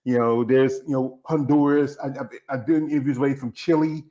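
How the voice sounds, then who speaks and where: A man's voice: mid-range voice, fairly even intonation, fairly quick, mellow, muffled recording. A man from the American South with a Southern accent, hosting a casual interview in a loose, joking way and repeating himself. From United States. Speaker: interview host.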